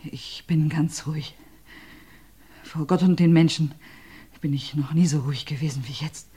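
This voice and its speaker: An actress playing a bereaved, tense village widow in a German radio crime play, speaking with strained, solemn control. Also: female voice, deep voice, steady tone, normal speed, dark tone, slightly rough. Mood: forced calm.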